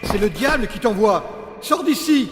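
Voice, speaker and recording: A man acts a village priest in an audio drama. The slightly high voice is severe and disbelieving, echoing with long reverb in a large interior. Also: lively intonation, measured pace, over music. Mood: indignant. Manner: indignant, severe. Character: stern, sceptical village priest. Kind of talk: French audio drama acting. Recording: echoey church-like hall with long reverb.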